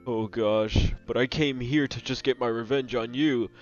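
A man's voice: medium pitch, fairly even intonation, normal speed, lightly acted. Man playing gloomy homesick cartoon bear, rambling complaints, muffled lo-fi sound, music behind. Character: dejected homesick male leprechaun bear with a grudge. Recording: dull lo-fi audio with music behind.

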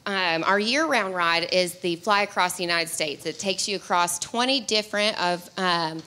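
A woman's voice: lowish voice, normal speed, sharp tone. A young woman guest on a studio talk show, speaking into a handheld mic in an upbeat, chatty way with some fillers. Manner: upbeat, laughs easily, fillers. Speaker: guest promoting an attraction. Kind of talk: casual promotional chat. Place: diner-style TV studio set with audience.

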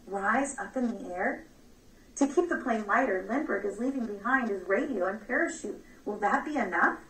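A woman in her thirties reading a book aloud as a teacher, in measured, dramatic storytelling, recorded at a distance in a room. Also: a little high, bright, forceful tone. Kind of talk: children's book read-aloud. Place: small room with bookshelves. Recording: echoey room, distant mic, replayed video.

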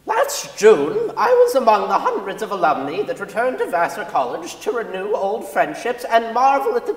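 A prim, critical old college alumna played by a woman reads her letter on stage. Her intonation swoops with precise comic emphasis, ringing through a reverberant hall. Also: medium pitch. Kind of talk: theatrical reading of a letter. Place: large indoor concert hall stage. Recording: echoey concert hall stage recording.

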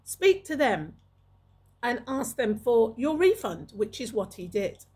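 Casting: middle-aged woman host, raspy, fairly high voice, emphatic advisory delivery into a close mic. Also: big pitch swings, takes it slowly, dark tone. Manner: emphatic, repeats phrases for emphasis. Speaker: video host giving advice. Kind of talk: advisory talk to camera. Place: small indoor room. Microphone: large studio microphone with pop filter, close.

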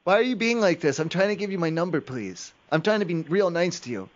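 A man improvises a prank phone call in a higher-than-usual voice. He stammers, rambles and keeps pestering the other person. The sound is a little muffled. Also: animated, fast. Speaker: prank caller.

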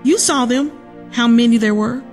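A woman's voice: on the high side, normal speed, darkish tone, soft background music, natural voice. A woman playing a sassy, blunt, protective survivor in a horror-comedy radio play. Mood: subdued, caring.

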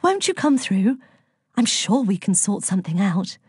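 A flirtatious, mischievous goddess of love from a storybook, voiced by a woman narrator. She trills sweetly in a high, sing-song voice, with a dry, close sound. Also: lively intonation, unhurried, darkish tone, husky, no echo. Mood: sweetly accommodating.

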